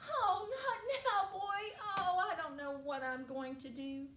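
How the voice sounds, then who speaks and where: A woman's voice: very high voice, fairly even intonation, fairly bright tone, pitched above the performer's own voice. A woman voicing a distressed, dramatic little schoolgirl in a children's story, whining and exclaiming. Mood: dismayed. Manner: whiny, exclaiming, drawn-out. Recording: distant roomy recording, faint noise.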